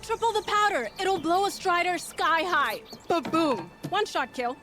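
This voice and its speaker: An actress playing an eager, reckless inventor obsessed with explosives in a game cutscene. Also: female voice, very high-pitched, expressive, unhurried, music in the background. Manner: eager, interrupting. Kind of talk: video game cutscene acting.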